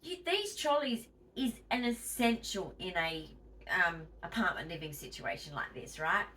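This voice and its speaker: A woman's voice: on the low side, big pitch swings, normal speed, sharp tone, recorded from a distance, a little hiss or hum, poor audio. An Australian woman chatting casually to her viewers in a home vlog, emphatic and chatty, and a bit wheezy. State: wheezy, tight-chested. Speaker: vlogger.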